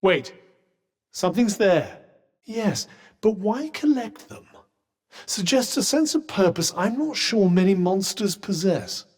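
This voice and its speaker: An actor playing a haughty, well-spoken talking magic cuff in a video game, with a British accent and dry, sarcastic, formal delivery. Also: male voice, high-pitched, very animated, slow pace, darkish tone, husky, exaggerated voice. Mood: measured, wry. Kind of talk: scripted video game companion dialogue.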